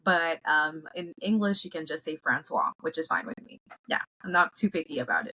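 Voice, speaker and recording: A woman's voice: lowish voice, expressive, fast, bright, forceful tone, a little hiss or hum, rough recording. A woman in her twenties from Haiti, a native French and Creole speaker, chatting casually as a podcast guest. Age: 20s. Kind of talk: casual interview chat.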